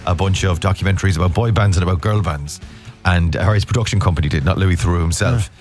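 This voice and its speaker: A man's voice: deep voice, fast talker, a little mellow, soft background music. A man hosting a radio show, chatting casually and jokily, with a blocked nose from a mild cold. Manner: jokey, rambling, bunged-up from a cold. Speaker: radio host.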